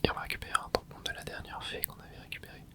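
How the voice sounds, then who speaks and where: A man whispering casual commentary as he plays a video game for an ASMR channel, dropping small interjections and explaining the game to his viewers. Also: racing. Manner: soft whispering with little interjections while playing. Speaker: ASMR gaming channel host.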